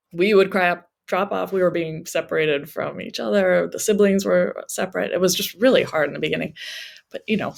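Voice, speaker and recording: A woman's voice: fairly low pitch, gravelly. A woman speaking as an interview guest, telling personal stories conversationally and voicing remembered remarks as she goes. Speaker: interview guest, travel memoir author.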